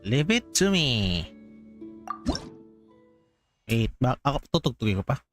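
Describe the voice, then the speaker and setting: A man's voice: mid-range voice, big pitch swings, unhurried. A man with a Filipino accent, a native Tagalog speaker, giving casual live gameplay commentary as a streamer. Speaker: game streamer. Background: game music.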